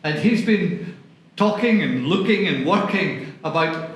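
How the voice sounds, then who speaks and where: A man's voice: sits high, expressive, unhurried. A man hosting an event, introducing the next speaker in a slightly hesitant way. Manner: hesitant, with fillers. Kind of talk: formal introduction. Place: event hall. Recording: echoey hall with faint noise.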